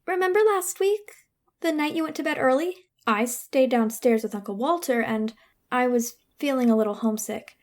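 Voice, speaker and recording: A woman's voice: high voice, moderate pace, darkish tone, close to an everyday voice. A woman playing a resentful sixteen-year-old girl who acts the hurt innocent, in emotional old-time radio melodrama style. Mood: ashamed, pleading. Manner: emotional, theatrical.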